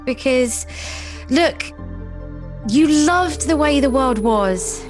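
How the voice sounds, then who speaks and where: Sardonic young career woman, expressive pitch. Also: extremely slow, soft background music, heavily acted. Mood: remorseful, grave. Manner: wry, sarcastic. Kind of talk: audio drama acting.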